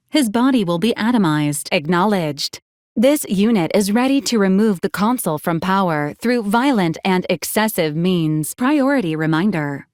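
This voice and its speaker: Woman voicing a deadpan, logical robot companion, dry close sound. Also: mid-range voice, dry recording, natural voice. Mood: coolly procedural. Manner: formal, clipped, deadpan announcements. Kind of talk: scripted video game voice acting.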